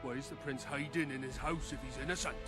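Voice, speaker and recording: An actor playing an angry, coarse peasant rabble-rouser in a video game, rustic and belligerent. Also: male voice, medium pitch, steady tone, fast, music in the background, close to an everyday voice. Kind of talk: video game dialogue acting.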